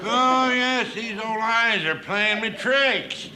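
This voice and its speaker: Genial frail old-timer, slow and high, sketch comedy; echoey archive sound, loud audience. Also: male voice, over a noisy crowd, very noisy. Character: frail old man with failing eyes, genial. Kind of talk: broad TV sketch comedy acting.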